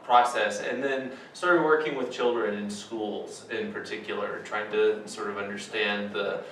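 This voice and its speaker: A man reads a translated account in a plain, measured voice, with the odd filler. The sound is very echoey and distant, with a faint hiss behind him. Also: fairly low pitch, fairly even intonation, a bit bright. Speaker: voice-over interpreter. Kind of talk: translated voice-over.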